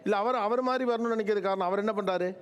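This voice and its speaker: A man's voice: high-pitched, very fast, highs cut off, very noisy. A man hosting a talk show, putting questions to guests in a debate.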